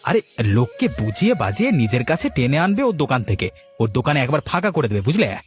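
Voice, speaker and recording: A man's voice: medium pitch, big pitch swings, quick pace, close to an everyday voice. Cartoon adviser, played by a man, shrewd village pal, insistent and coaching, over faint music. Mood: insistent, encouraging. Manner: instructive, insistent, encouraging. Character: shrewd village friend egging on a grudge. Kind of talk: animated moral story dialogue.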